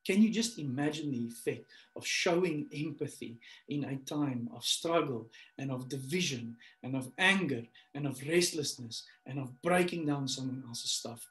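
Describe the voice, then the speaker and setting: A man in his thirties, a pastor giving a sermon over a webcam from a small room, climbing to loud emphatic peaks and dropping to a soft prayer. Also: mid-range voice. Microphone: webcam on a video call. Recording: echoey room.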